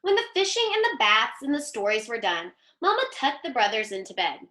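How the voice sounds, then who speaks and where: A woman giving an expressive, teacherly picture-book read-aloud and putting questions to young listeners. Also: a little high, fairly quick. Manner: animated, teacherly. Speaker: teacher reading aloud to children.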